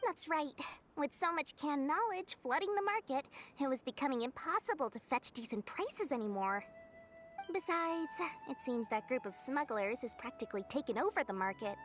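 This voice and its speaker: An actress playing a shrewd, playful, money-minded merchant in a video game, with a teasing, sing-song manner. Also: female voice, sits high, expressive, moderate pace, fairly bright tone, soft background music. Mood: sly, breezy. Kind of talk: video game character dialogue.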